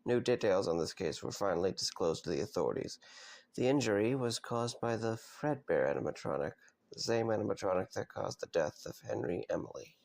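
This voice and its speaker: A man acts as a tired but kindly investigator in an audio drama. His voice is fairly low and gravelly, with a lively range. He comes across as dry, casual and a little hesitant. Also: fairly quick. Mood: reading aloud, grave.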